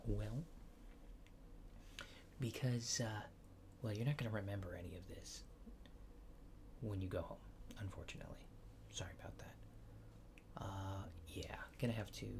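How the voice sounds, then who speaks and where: A man playing a laid-back, faintly apologetic alien fixer in a soft, hesitant, often near-whispered ASMR roleplay. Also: on the low side, creaky, background noise. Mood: apologetic, dry. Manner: hesitant, understated, dryly matter-of-fact.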